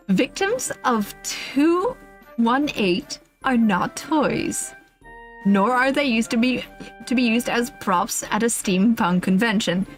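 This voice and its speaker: A woman's voice: fairly high pitch, sing-song, slow. A woman streamer reading a humorous list aloud in a casual, playful, giggly way, with offhand asides. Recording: music playing behind the voice. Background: background music.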